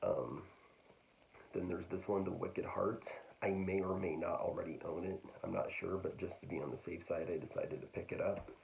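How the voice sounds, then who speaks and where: A man casually talking through a book haul, hesitant and full of fillers, his voice getting raspy. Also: sits low, steady tone, normal speed, a little mellow, a little hiss or hum, low-quality recording. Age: adult. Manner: hesitant, full of ums and restarts. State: getting raspy, clearing throat. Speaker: book vlogger.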